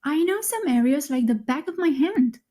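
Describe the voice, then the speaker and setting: A young woman speaking her part in a scripted conversation for English learners, clear and friendly. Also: high voice, animated, normal speed, dark tone. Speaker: speaker in a recorded dialogue.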